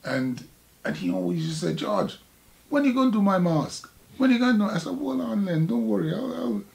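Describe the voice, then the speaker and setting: An older man from Jamaica with a Jamaican accent, an artist recalling his past in a halting, reflective conversation. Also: sits high, big pitch swings, gravelly, a little mellow. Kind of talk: casual recollection in conversation.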